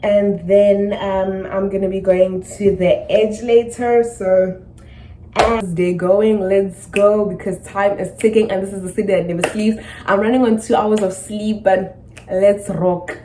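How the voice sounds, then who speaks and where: A young South African woman chatting to her camera in a rambling, casual way, tired and low on energy. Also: fairly even intonation, unhurried, mellow, a little hiss or hum. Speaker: vlogger. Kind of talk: casual vlog chat to camera. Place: indoors, then out in the city streets.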